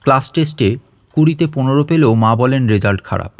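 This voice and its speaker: Man, scripted story narration, medium pitch, dry recording. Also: expressive, fairly quick, no echo. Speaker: audio story narrator and channel host.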